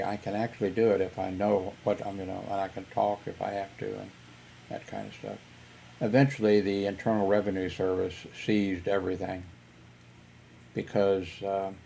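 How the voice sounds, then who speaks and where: A man's voice: low-pitched, gravelly, dark tone, a little hiss or hum. A man telling personal stories in a rambling vlog, filling gaps with "you know" and chuckling. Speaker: YouTuber. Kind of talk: casual storytelling vlog.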